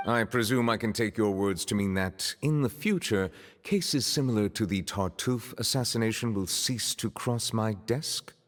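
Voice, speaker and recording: Actor as deep, stately, cautious male official. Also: moderate pace, hardly any pauses, long reverb tail, close to an everyday voice. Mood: grave, cautious. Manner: formal, ornate, reserved. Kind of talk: scripted video game acting.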